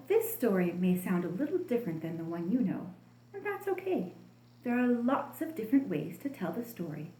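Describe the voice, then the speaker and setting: A woman telling a children's story as an expressive storybook narrator. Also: on the high side. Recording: roomy, echoing room sound.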